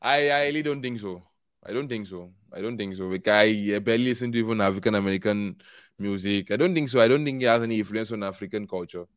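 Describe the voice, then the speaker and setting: A man's voice: lowish voice, fast, smooth, dry recording, studio quality. A young man from Nigeria, chatting earnestly as a podcast guest, rambling with fillers and easy laughs. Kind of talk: casual interview chat.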